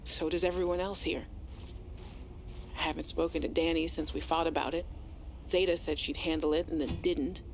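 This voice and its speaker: A woman playing an earnest, anxious but quietly defiant scientist in a video game audio log, hesitant and confiding. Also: low voice, steady tone, moderate pace, creaky, long pauses, slight background noise. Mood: nervous, resolute.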